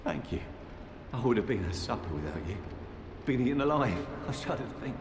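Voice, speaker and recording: Humble grateful pyromancer, lowish animated voice, long reverb, noisy game scene. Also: male voice, brisk. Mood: grateful, shaken. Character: humble, deeply grateful male swamp pyromancer. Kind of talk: video game character dialogue. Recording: echoey reverberant space, background noise.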